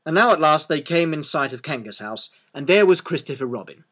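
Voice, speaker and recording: A man reading a children's story aloud as an expressive narrator. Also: fairly high pitch, a little fast.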